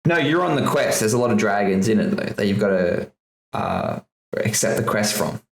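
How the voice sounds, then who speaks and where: A man's voice: lowish voice, wildly swooping pitch, moderate pace, creaky. A man co-hosting a casual podcast, ranting and swearing often with bursts of laughter. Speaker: podcast co-host. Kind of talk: casual chat. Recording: echoey room.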